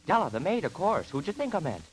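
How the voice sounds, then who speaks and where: A jittery, lovestruck teenage boy in a vintage radio comedy. The voice is fairly high and swings widely, eager and naive, on an old recording with faint hiss. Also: very animated, fast talker. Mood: eager, furtive.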